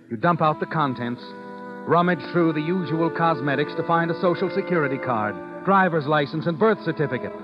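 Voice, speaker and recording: Actor as a sly radio narrator over music. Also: male voice, fairly high pitch, lightly acted. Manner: insinuating second-person address. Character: sly, knowing male mystery narrator, needling the heroine. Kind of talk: old-time radio mystery narration. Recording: muffled vintage radio broadcast.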